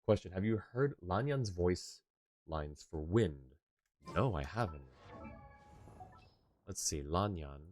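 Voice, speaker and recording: Low-voiced chatty male game streamer. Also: animated, slow, pauses often, darkish tone, no room sound. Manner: rambling, chatty, laughs often. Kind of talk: casual gameplay chat.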